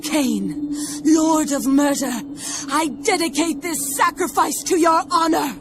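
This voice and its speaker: A woman playing a vengeful, bloodthirsty dark elf in a fantasy game, theatrical, venomous and imperious. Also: high-pitched, takes it slowly, noisy background. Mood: ritual fervor. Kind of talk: dramatic fantasy game acting.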